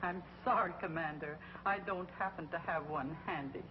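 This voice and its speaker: An actress playing a cold, scheming witch villain in a 1950s TV drama, speaking with commanding, precise diction over loud music. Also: on the low side, moderate pace, lo-fi sound, noisy background, natural voice. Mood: scornful command.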